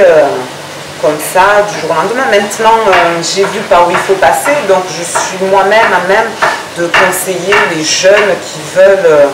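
A woman in her thirties explaining her project in a conversational interview, pausing often between short phrases. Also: lowish voice. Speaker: restaurant owner. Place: restaurant terrace, dining room and working kitchen. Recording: distant, echoey, loud background noise.

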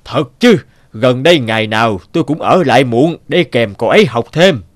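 An actor playing a kind, patient, firm elderly male teacher in an animated drama. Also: medium pitch, fairly quick, no echo, clean studio sound, close to an everyday voice. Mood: calm, firm.

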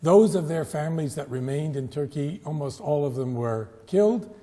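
A man, a guest historian recounting his background in a measured, storytelling way on a studio interview. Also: on the high side, animated, warm tone, in a large hall. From United States. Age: 70s. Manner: measured, storytelling, occasional self-correcting restarts. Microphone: lapel.

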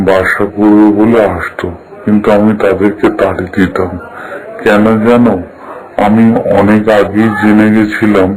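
Low, flat-voiced weary talking tree, acted by a man. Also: natural voice, rough recording. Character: grumpy, sorrowful talking tree, male. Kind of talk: scripted cartoon story acting.

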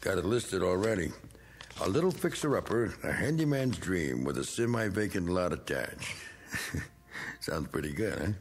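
An actor playing a blunt, gruff middle-aged man who is clearing out his cluttered attic, in a TV drama. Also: low voice, very animated, normal speed, a little hiss or hum. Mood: pushy. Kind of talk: 1980s TV drama acting.

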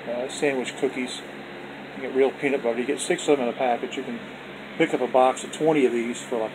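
Brief: folksy male home vlogger at the dinner table, phone-recorded, air-conditioner hum clearly audible behind him. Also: medium pitch. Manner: folksy, repetitive, with religious blessings. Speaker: vlog host showing his dinner. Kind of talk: casual off-camera food vlog. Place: indoors at home, dining table.

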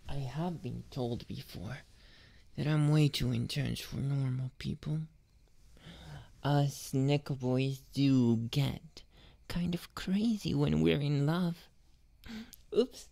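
Slow, whispery neko boy, voiced by a woman. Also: a bass voice, warm tone, dry recording, heavily acted. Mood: lovestruck, intense. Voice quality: breathy whispering. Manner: slow, lingering, obsessively affectionate. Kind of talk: ASMR roleplay, soft and whispery.

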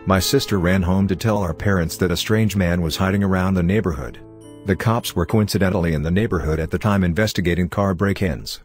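A man with a very deep voice narrates horror stories in a steady, measured way. Soft music plays faintly underneath him.

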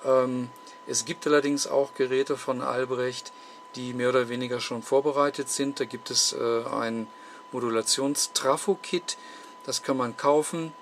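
A man talks through a technical demonstration in a mid-pitched voice. He speaks slowly and methodically, often pausing to find the next word. Some faint noise sits behind him, picked up at an indoor workbench. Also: fairly even intonation, a bit bright. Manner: halting, methodical, with frequent hesitations. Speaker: host demonstrating electronics repair. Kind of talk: unscripted technical explanation.

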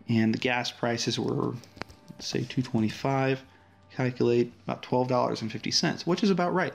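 Relaxed man demoing an app. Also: medium pitch, gravelly. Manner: relaxed, friendly walkthrough with frequent fillers. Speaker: app developer. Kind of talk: casual screen-recorded app demo.

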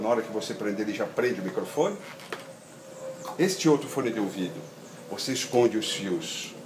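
Low-voiced Brazilian man, echoey sound. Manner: halting, repeats words, checks with "né" and "tá vendo". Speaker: product demonstrator. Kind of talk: informal hands-on tutorial, explaining step by step. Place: outdoor patio table in a garden. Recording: roomy echo, steady ambient noise.

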